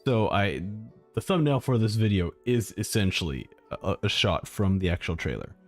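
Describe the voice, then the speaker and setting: A man's voice: on the low side, sing-song, normal speed, faint music behind. A man chatting casually and thinking aloud as a live streamer reacting to game trailers. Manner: rambling, informal, thinking aloud.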